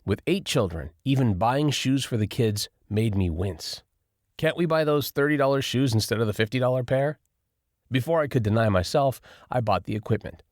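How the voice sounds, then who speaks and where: A man narrating a first-person nonfiction audiobook in an expressive, lively reading style. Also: mid-range voice, normal speed, no echo, clean studio sound.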